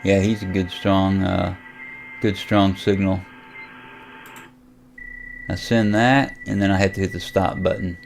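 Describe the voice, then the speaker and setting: An American man talks through a hands-on software demonstration in a casual, unscripted way. His voice sits fairly low and stays very even in tone. He pauses and thinks aloud as he works, over a faint bed of background noise. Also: vocal fry. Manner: halting, thinking aloud while operating. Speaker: amateur radio vlogger demonstrating software. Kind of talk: casual unscripted screen tutorial.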